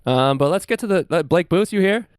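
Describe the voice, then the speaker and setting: Male podcast host, smooth and clear, close dry sound, casual and slangy, swears freely. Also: high voice, lively intonation, brisk, dry recording. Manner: informal, slangy, profane.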